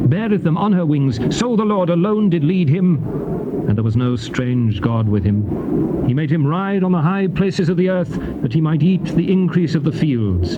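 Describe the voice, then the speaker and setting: A man's voice: on the high side, heavy background noise. A man playing a stern, impassioned prophet declaiming to his people in a theatrical scripture drama. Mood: reverent, lyrical. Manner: declamatory, fervent. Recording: muffled tape with loud background ambience.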